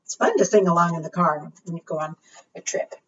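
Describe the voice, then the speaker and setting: A woman's voice: on the low side, a little fast, a bit bright, slight background noise. A woman leading a preschool storytime, reading rhymes aloud and putting questions to small children in a sing-song way. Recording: muffled, distant microphone.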